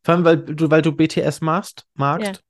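A man's voice: on the high side, normal speed. A man hosting a podcast in casual chat, talking enthusiastically in colloquial, youthful slang. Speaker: podcast host.

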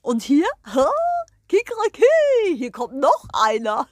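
Woman playing a proud, crowing rooster, lively and high. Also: dry recording, studio quality, exaggerated voice. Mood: gleeful, showy. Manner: crowing, cackling, showy delivery with drawn-out punchlines. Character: proud, boisterous rooster who loves telling jokes. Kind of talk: children's storytelling.

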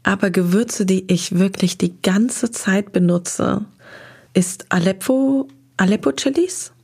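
A young adult woman, a restaurant critic, talking about food in a relaxed interview, lively and enthusiastic, in long flowing sentences. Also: mid-range voice, slow pace, gravelly, husky.

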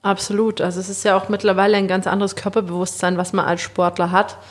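A woman's voice: mid-range voice, flat delivery, fast talker. A chatty woman with a mid-range voice hosts a casual interview. She talks colloquially and conversationally, moving easily between questions and her own remarks. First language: bilingual German and Spanish. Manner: chatty, colloquial, often laughing. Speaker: interview host; former professional handball player.

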